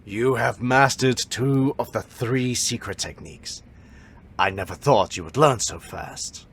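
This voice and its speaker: A man playing a proud, stern martial arts master who instructs a pupil in formal, measured speech for an audio drama. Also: mid-range voice, fairly bright tone, husky. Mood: proud, approving. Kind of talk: fan audio drama acting.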